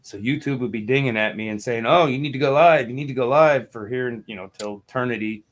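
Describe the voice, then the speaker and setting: A man's voice: mid-range voice, fairly quick. A man hosting a casual music talk show, telling stories in a laid-back way and acting out phone calls. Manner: laid-back storyteller, acts out phone calls in put-on voices. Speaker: podcast host. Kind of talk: casual chat, storytelling.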